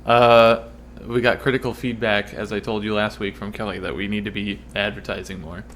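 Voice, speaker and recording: A man's voice: lowish voice, flat delivery, a little fast, fairly bright tone, slight background noise. A man hosting a casual podcast, joking and laughing often with his co-hosts in a small home studio. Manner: jokey, upbeat, laughs often. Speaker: podcast host. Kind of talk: casual chat.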